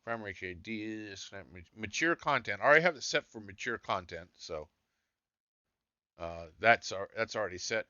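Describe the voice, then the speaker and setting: A male game streamer with a scratchy, fairly low voice that has a bright, forward edge. He murmurs to himself as he works through menus. The close mic sounds dry and a little dull. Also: animated, normal speed, no room sound. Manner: muttering while searching through settings. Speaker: gaming streamer.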